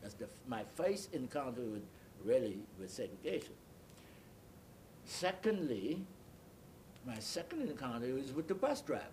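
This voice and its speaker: An elderly man recalls his life in an interview, speaking as a deliberate, halting storyteller who repeats and explains. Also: mid-range voice, big pitch swings, darkish tone, slight background noise, rough recording. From Bahamas. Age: 80s or older. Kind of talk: oral history recollection.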